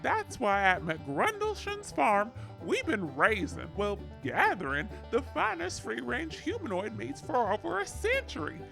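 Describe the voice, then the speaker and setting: Creaky Southern troll butcher. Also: female voice, fairly high pitch, wildly swooping pitch, measured pace, hardly any pauses, a bit bright, soft background music, full character voice, poor audio. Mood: folksy sly. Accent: rural Southern American. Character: folksy, sinister rural troll butcher in an advert. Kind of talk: folksy commercial parody.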